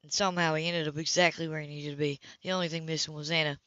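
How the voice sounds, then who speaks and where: Lowish woman reader, slightly muffled. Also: little pitch movement, racing, fairly bright tone, no echo. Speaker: amateur audiobook reader. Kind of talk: scripted fiction narration. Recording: dull lo-fi home recording.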